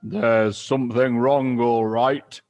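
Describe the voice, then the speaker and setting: A man voicing a cruel, gloating old husband who taunts slowly and mockingly. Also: medium pitch, little pitch movement, darkish tone, highs cut off, close to an everyday voice. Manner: slow, drawn-out and mocking.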